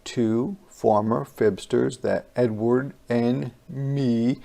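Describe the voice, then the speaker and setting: A storybook reader with a very slow, deliberate delivery. The voice is creaky and mellow, giving a rhyming children's tale theatrical phrasing. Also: mid-range voice, fairly even intonation. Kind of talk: dramatic rhyming children's read-aloud.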